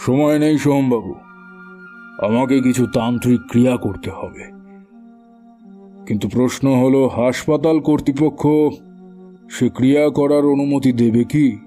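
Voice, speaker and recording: An actor playing a humble, grave middle-aged tantric occultist in an audio horror drama, with measured, ominous delivery. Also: male voice, mid-range voice, mellow, close to an everyday voice. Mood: urgent. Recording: background music under the voice.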